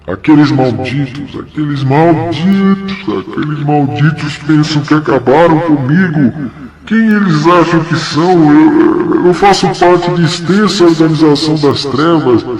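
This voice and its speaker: A man playing a tormented, arrogant commander of dark spirits in an audio drama, ranting theatrically and repeating his phrases. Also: on the high side, a little slow, lightly acted. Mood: bitter, frantic. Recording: roomy echo under loud music.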